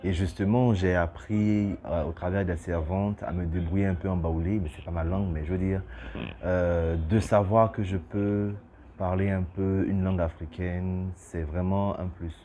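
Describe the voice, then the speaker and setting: A man's voice: low-pitched, fairly even intonation, moderate pace, mellow, slight background noise. A man from Côte d'Ivoire, a businessman speaking in an interview, hesitant with restarts and bursts of emphasis. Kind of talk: interview testimony.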